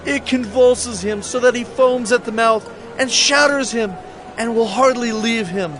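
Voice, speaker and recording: A man's voice: very high voice, unhurried, fairly bright tone, a little hiss or hum. A man playing a desperate father pleading from a crowd in a dramatized audio Bible, with an imploring manner. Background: faint music.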